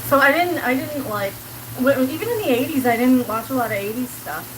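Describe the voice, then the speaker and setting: A woman's voice: high voice, animated, brisk, low-quality recording. Rambling South Kansas woman over a sizzling pan. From Colorado. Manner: chatty, rambling, heavy use of "like", answers chat aloud. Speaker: livestreamer. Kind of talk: casual live-stream chat while cooking. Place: home kitchen. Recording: sizzling pan noise behind.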